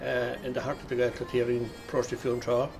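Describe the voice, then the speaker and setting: A middle-aged man giving a reflective interview, pausing and searching for his words. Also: mid-range voice, monotone, vocal fry, music in the background. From Ireland. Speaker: local interviewee, Irish speaker.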